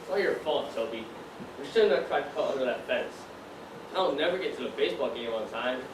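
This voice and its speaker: A man's voice: medium pitch, lively intonation, brisk, far from the mic, natural voice. A teenage male student acting as a dreamy, determined young factory worker in a stage play, with earnest, projected delivery. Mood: urgent. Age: teens. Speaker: student actor. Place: stage in a large room, distant mic. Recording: roomy, noisy stage recording from afar.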